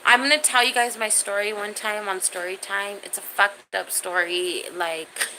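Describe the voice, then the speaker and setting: A woman's voice: mid-range voice, fairly even intonation, moderate pace, bright, forceful tone, poor audio. A woman in her thirties chatting casually on a livestream, talking straight to viewers and reading their comments, with slangy, profane language. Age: 30s. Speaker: live streamer chatting with viewers. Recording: faint background noise.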